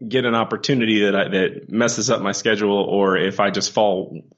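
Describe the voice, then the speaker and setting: A man's voice: lowish voice, fairly even intonation, normal speed. A man chatting casually as a co-host, joking and rambling, on a dull lo-fi recording. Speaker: co-host, comic book writer. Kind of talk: casual chat between co-hosts.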